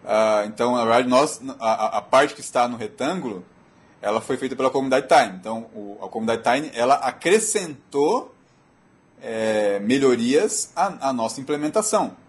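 Male technical speaker, Brazilian Portuguese accent, mid-pitched husky voice, explanatory and halting presentation delivery. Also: animated. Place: auditorium.

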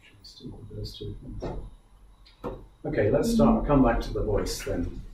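A man's voice: on the low side, expressive, a little slow. A man hosting a gallery conversation, easygoing and prompting questions from the audience. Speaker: conversation host and moderator. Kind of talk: moderating a gallery talk. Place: small gallery room with seated audience. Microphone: far camera. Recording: echoey room from far off, background noise.

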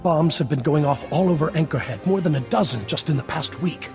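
A distressed desert mayor in a video game. His voice is fairly high and creaky, earnest and pleading. Music plays behind him. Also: fairly quick. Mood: anguished, pleading. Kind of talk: dramatic video game voice acting.

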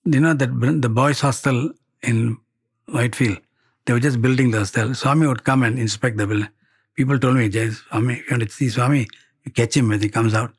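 An older man with a Malaysian accent shares personal stories in a conversational way. His voice is husky and mid-pitched. He plays out bits of dialogue and often checks that the listener is following. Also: a little fast. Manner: animated retelling with quoted dialogue and tag questions. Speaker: devotee giving a spiritual testimony. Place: indoor studio set, seated before wood-panelled wall.

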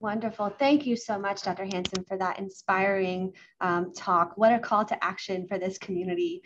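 A woman's voice: mid-range voice, steady tone, normal speed. Gracious woman moderator, dull webcam sound. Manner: gracious, formal. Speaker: event moderator. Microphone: video call webcam. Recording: dull webcam audio with faint hiss.

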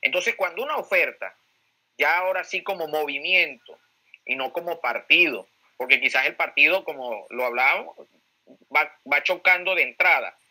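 A man's voice: a little high, normal speed, pushed and bright, low-quality recording. A Venezuelan man giving political commentary as a pollster, emphatic, repeating key phrases and posing rhetorical questions. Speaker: pollster, guest speaker.

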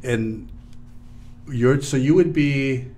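A man. He talks animatedly, breaking off and restarting as he jumps in. His voice sits at a middle pitch, close to a studio mic. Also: a little hiss or hum. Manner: animated, quick, interjecting with many restarts. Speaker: podcast host. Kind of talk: casual interview chat. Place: small podcast studio with plants. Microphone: studio mic with headphones.

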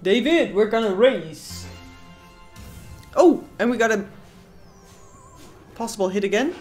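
A woman's voice: a little high, expressive, measured pace, darkish tone, soft background music. A young Dutch woman with a Dutch accent, co-hosting a live casino stream, chatting with viewers and reacting to the game. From Netherlands. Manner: chatty, reads chat aloud, squeals at wins. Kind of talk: live stream chat and commentary.

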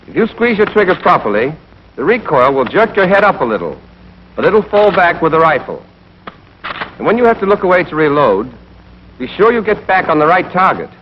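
Muffled vintage film soundtrack, high-pitched American man, military instructor, scripted direct narration. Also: slight background noise. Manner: direct, instructive, addresses the trainee. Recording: dull, band-limited old training film soundtrack.

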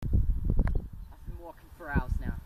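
A man's voice: on the high side, takes it slowly, gravelly, phone-quality sound. A teenage boy doing a goofy mock survival tutorial outdoors in a park. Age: teens. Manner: goofy deadpan comic patter. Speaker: comedy video maker. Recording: distant camera mic, loud outdoor noise.

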